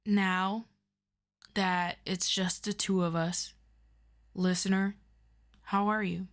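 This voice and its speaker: A woman playing a calm, formal superior of a superhero team in an audio roleplay, asking measured, probing questions. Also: medium pitch. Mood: courteous, probing. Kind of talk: scripted audio roleplay addressed to the listener.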